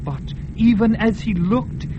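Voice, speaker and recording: A man with a high voice narrates a fantasy story with dramatic swells. The recording is dull and carries clear background hiss. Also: takes it slowly.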